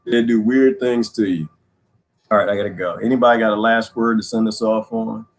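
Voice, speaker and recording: A man hosting a casual livestream, rambling and joking with viewers, laughing often, his voice going hoarse. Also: on the low side, fairly even intonation, fairly quick. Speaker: livestream host.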